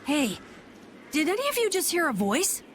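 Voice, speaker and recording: A woman voicing a timid, excitable young boy adventurer in a video game, jumpy and earnest. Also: sits high, normal speed, very noisy. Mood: anxious, urgent. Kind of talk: video game dub acting.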